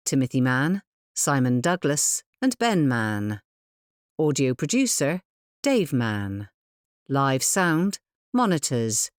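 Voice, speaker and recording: An audio describer reading scripted credits in a measured, plainly announcing manner. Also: mid-range voice, dry recording, studio quality.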